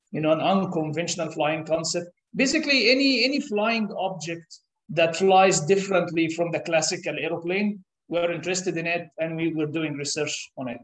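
Egyptian-born professor, 30s, second-language English, slightly high voice, thoughtful, halting; room echo. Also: male voice. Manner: thoughtful, with frequent "uh" pauses and restarts. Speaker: interview guest, professor. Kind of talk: explanatory interview answers. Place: conference room. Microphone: camera across a table. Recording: echoey meeting room.

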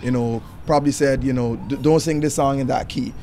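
A young man interviewing a guest, asking questions and encouraging her with steady backchannels. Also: medium pitch, a little fast, slight background noise. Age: young adult. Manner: encouraging, with many "mm-hmm" backchannels. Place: outdoor terrace by a balustrade. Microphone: handheld mic.

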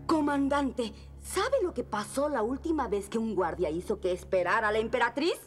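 A woman playing a brisk, quick-witted palace servant in a children's cartoon, hurried and insistent. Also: a little high, animated, close to an everyday voice. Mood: bossy, urgent. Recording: music behind the voice.

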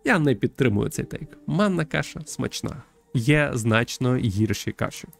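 A man in his thirties streams a story game with a gravelly, creaky voice. He comments in a thoughtful, halting way at an ordinary male pitch. Soft game music plays behind him. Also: expressive. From Ukraine. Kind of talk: livestream commentary and reading aloud of a visual novel. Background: background game music.

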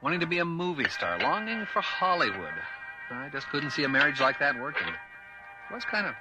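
A man's voice: a little high, big pitch swings, normal speed, over loud music, rough recording. A man playing a firm, sceptical, middle-aged American father in an audio drama, with a folksy, dismissive manner. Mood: wistful, defensive. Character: firm, sceptical father, wistful about old sweetheart. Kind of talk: dramatic radio-play dialogue.

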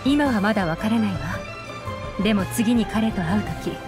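A woman's voice: mid-range voice, normal speed, over music, natural voice. A woman voicing a composed, assured female intelligence officer in a Japanese video game. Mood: calm, assured.

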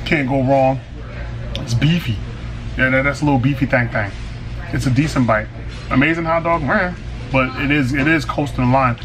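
A man in his forties casually reviewing food on camera, slangy and animated, reading his own written review aloud with flourish. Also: medium pitch, moderate pace, long pauses, reverberant. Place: small restaurant dining room, indoors.

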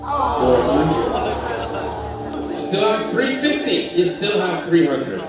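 A man hosting a game show on a cruise ship stage, animated and jokey as he calls out the amounts. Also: high voice, drawn-out words. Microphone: handheld mic, far camera. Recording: echoey hall from far off, loud music.

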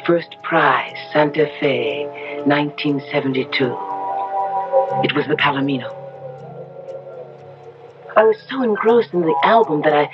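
Dramatic young ranch wife, old radio sound. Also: female voice, low voice, lo-fi sound. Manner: dramatic, emotive, carefully enunciated. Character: young ranch wife, headstrong yet uneasy narrator. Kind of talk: 1970s radio drama acting and first-person narration. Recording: band-limited old radio broadcast, music underneath.

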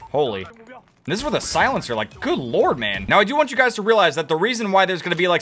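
Young guy on a headset yelling at a shooter game, voice cracking, bright and all over the place. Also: a little high. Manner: hyped, exclamatory, jumps between mutters and shouts. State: voice cracking, hoarse. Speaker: gaming YouTuber. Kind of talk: excited gameplay commentary. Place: home gaming setup, indoors.

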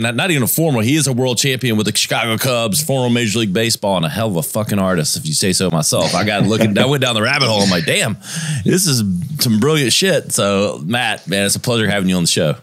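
Excitable podcast host with a dry mic. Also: male voice, fairly low pitch, dry recording. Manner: excitable, laughs often, swears casually. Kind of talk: casual interview chat. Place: home studio with posters. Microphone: headphones and boom mic.

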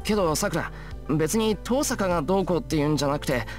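Man acting quick, earnest, flustered teenage boy. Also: on the high side, expressive, soft background music, natural voice. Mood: flustered, apologetic. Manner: hesitant, earnest.

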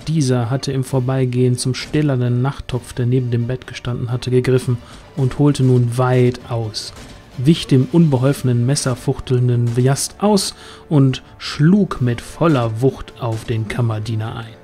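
A man narrates a fantasy audio drama from a script. His mid-pitched voice is mellow and dark, with faint music underneath. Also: fairly even intonation, a little slow.

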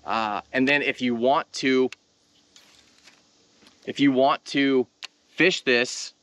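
A man with a bright, forceful voice at a medium pitch, presenting a hands-on tutorial as host. He talks informally and directly to his viewers, and the sound is dry and close. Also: fairly even intonation, slow, no room sound. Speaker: host of a fishing tutorial channel. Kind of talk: casual instructional demonstration talk.

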